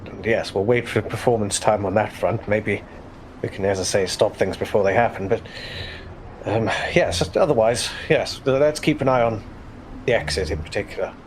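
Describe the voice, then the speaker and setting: A man playing a tabletop game, talking in character with measured, slightly formal phrasing. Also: fairly low pitch, slight background noise, poor audio. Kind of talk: in-character roleplay.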